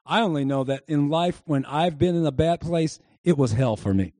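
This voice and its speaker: High-voiced man preaching, emphatic, dry sound. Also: moderate pace, mellow, dry recording. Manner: emphatic preaching with audience call-and-response. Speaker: pastor. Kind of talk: sermon. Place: church service before a congregation.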